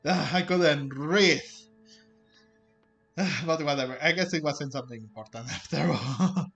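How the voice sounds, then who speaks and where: A man's voice: on the high side, measured pace, vocal fry, a bit bright. A man giving casual let's play commentary over a game, musing and hesitant as he reacts. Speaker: gamer, let's play host. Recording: dull lo-fi mic over game music. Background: game music.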